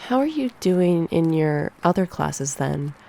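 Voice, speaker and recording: A woman playing a teasing, caring succubus teacher in a soft ASMR roleplay on a close binaural microphone. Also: sits low, sing-song, measured pace, vocal fry, slight background noise, exaggerated voice. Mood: earnest, encouraging. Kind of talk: ASMR roleplay, one-sided conversation.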